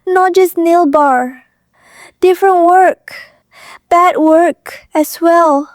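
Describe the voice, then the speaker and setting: An actress playing a frightened, guarded young woman with a thick foreign accent, speaking halting broken English in an audio drama. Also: very high-pitched, steady tone, no room sound, clean studio sound, full character voice. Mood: ashamed, anxious. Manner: halting, broken English in short clipped phrases.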